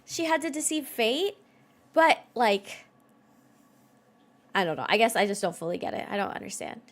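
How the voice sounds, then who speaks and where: A woman's voice: fairly high pitch, sing-song, normal speed. A woman giving casual, reactive game stream commentary in a blunt, sweary, incredulous way.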